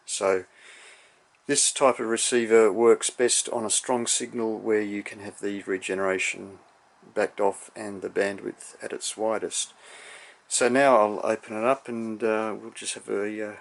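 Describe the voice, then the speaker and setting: A man giving an unscripted technical demonstration, explaining in a measured, somewhat hesitant way. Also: lowish voice, gravelly. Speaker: electronics hobbyist presenter.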